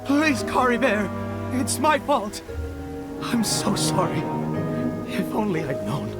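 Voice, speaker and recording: An unhinged, grief-broken nobleman from a fantasy game. His voice sits fairly high and swings widely, in slow, theatrical, halting phrases, with some noise behind it. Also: sing-song, loud music behind the voice, full character voice. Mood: anguished, pleading. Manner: theatrical, halting, with breaks into laughter. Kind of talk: dramatic video game voice acting.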